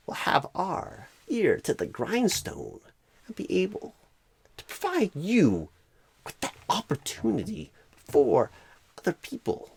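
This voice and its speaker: A man voicing a clueless, bumbling job recruiter in an ASMR roleplay, earnest and scatterbrained, with halting, oddly stressed phrasing and a husky, breathy voice. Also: sits high, exaggerated voice. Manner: halting, oddly stressed phrases in a Christopher Walken-style impression.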